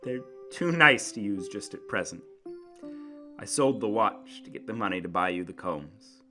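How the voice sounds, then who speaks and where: A man voicing a gently devoted, somewhat dazed young husband in a dramatic story reading. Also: on the low side, animated, normal speed, over music, voice pushed down, natural voice. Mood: gentle, resigned.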